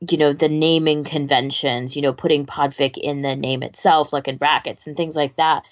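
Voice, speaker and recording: A woman's voice: low voice, fairly even intonation, normal speed. A woman co-hosting a podcast in a casual, conversational way, on slightly lo-fi audio. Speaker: podcast co-host. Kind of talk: casual chat.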